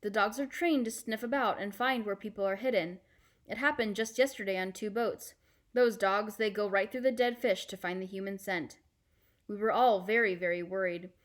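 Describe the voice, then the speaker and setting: A woman playing a calm, reassuring fisherman uncle in a storybook, with a measured, explanatory manner. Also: medium pitch, close to an everyday voice. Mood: serious.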